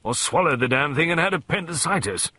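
A man's voice: a little high, very animated, normal speed, pushed and bright, a little hiss or hum, voice pushed up. A man voicing a hearty, grumbling older colonel and country squire in an audiobook. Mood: indignant, blustering. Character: blustering, good-humoured older English colonel, country squire. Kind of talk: audiobook character voice.

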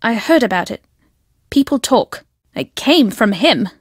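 A woman playing a secretive, fervent guardian of her family's destiny in a video game, speaking solemnly and deliberately. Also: on the high side, big pitch swings, breathy edge, no echo, studio quality, heavily acted. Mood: guarded, firm.

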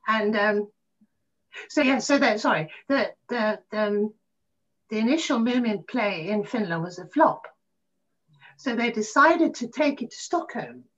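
A middle-aged woman reminiscing casually in an interview, telling stories in a halting, animated way. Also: a little high. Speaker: interview guest, actor. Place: room at home, on a video call. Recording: muffled video call audio.

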